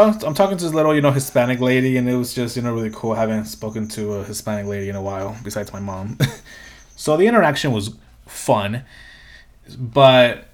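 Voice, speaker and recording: A young man hosting a solo podcast, talking in a casual, rambling way, swearing freely and laughing often. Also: mid-range voice. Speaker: podcast host.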